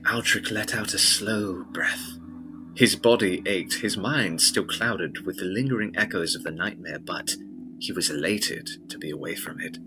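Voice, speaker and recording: A male narrator with a very low voice delivers scripted gothic fiction. His phrasing is deliberate, solemn and dramatic. Music plays clearly behind the narration. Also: rough recording.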